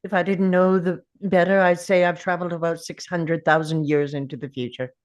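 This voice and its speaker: A woman's voice: on the low side, little pitch movement, fairly quick, lightly acted. A woman playing an ancient male time traveller, weary and defiant, at a table read of a drama script. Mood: musing.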